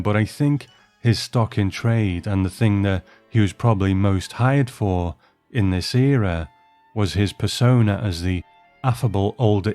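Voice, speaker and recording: A man with a low voice presents scripted commentary on old screen drama. He speaks as a host, chatty and measured, like someone telling a story he has prepared. Soft music plays behind him. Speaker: podcast host. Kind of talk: scripted film and television commentary.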